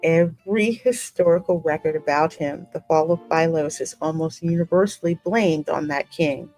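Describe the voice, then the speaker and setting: Low-voiced woman narrating a game story. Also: normal speed, darkish tone, soft background music, low-quality recording. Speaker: gaming host voicing the player character. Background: musical game soundtrack.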